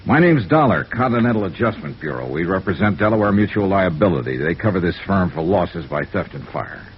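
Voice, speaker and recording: An American actor playing a brisk, clipped insurance investigator in an old-time radio noir drama. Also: male voice, low voice, lively intonation. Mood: firm, pressing. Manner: clipped, businesslike. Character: brisk, hard-boiled male insurance investigator. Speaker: radio drama lead and narrator. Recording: muffled, noisy old radio recording.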